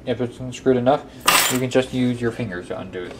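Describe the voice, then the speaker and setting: A man's voice: mid-range voice, fast. Man giving hands-on instructions, noisy recording. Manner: step-by-step, repeats himself. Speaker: tutorial presenter. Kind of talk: casual hands-on how-to instruction. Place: indoor workbench. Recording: clearly noisy amateur room recording. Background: clear background noise.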